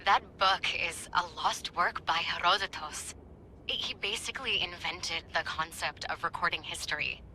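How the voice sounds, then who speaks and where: An enthusiastic young researcher in a game cutscene, eager and gushing about history. Her voice is very bright yet pitched slightly low. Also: normal speed, slight background noise, low-quality recording. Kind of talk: video game cutscene acting.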